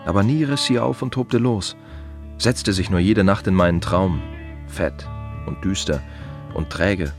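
Low-voiced, wry gravedigger. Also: male voice, measured pace, soft background music. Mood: brooding, reflective. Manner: reflective, wry, clipped fragments with repeated phrases. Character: plain-spoken, musing male gravedigger at a cemetery. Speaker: monologue narrator of a radio play. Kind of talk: German radio drama monologue, conversational.

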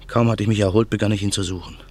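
A man's voice: lowish voice, fairly even intonation, very fast, darkish tone, lightly acted. An actor playing a vengeful man who broods in a grim inner monologue in a radio drama. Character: vengeful man waiting in a car at night. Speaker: narrator and protagonist. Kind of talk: 1950s radio drama acting.

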